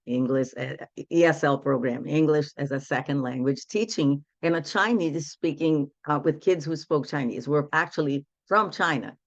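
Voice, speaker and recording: A woman's voice: deep voice, no echo, dull audio. Woman in her sixties, dry call audio. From Brazil. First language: Portuguese. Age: 60s. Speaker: guest, women's empowerment coach. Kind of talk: casual interview storytelling.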